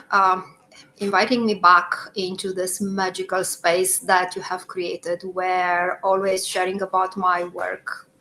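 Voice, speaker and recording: A woman from Romania, an English speaker, answering interview questions thoughtfully over a video call, pausing with fillers and laughing easily. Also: on the low side, sharp tone, slight background noise, poor audio. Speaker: author guest.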